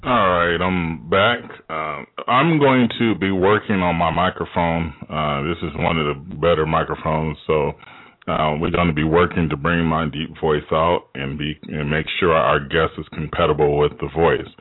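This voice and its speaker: Male host with a very deep voice, loose rambling delivery, thin phone-like recording. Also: steady tone, normal speed. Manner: rambling, many fillers and restarts. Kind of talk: casual radio show talk. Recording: tinny phone-like audio.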